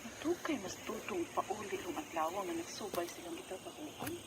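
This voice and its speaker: A woman's voice: lowish voice, lively intonation, normal speed, heavy background noise, natural voice. A woman acting a worried wife who recounts her family's troubles in a radio drama, with a Cebuano Filipino accent. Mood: concerned.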